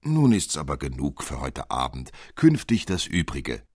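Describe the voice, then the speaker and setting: A secretive, wry clockmaker godfather in a storybook, played by a male reader, speaks in measured phrases. The voice is low and slightly husky. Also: wildly swooping pitch, a little mellow, dry recording, studio quality, heavily acted. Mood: wry storytelling.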